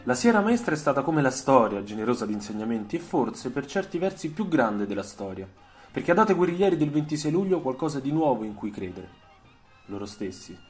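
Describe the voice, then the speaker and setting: A man's voice: mid-range voice, very animated, fairly quick, soft background music. A man narrating a historical story for radio in a literary, scripted storytelling style. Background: soft musical soundtrack.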